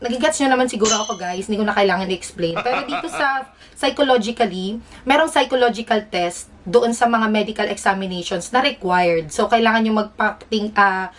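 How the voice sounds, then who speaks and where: A Filipino woman with a fairly high voice, recorded a little away from the microphone. She talks as a vlogger explaining something step by step, chatty and informal, with joking asides. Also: fairly quick, far from the mic. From Philippines. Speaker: vlogger, air traffic employee. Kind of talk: casual explainer vlog, Taglish.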